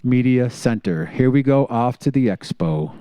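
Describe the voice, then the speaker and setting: A male host with a crisp, resonant voice, speaking in a dry close-up sound. He talks in an easygoing, eager way, tossing out fun facts and handing off to the next segment. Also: medium pitch, lively intonation, normal speed, mellow, no echo, dull audio. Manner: enthusiastic, shares fun facts with a chuckle. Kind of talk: casual radio show hosting.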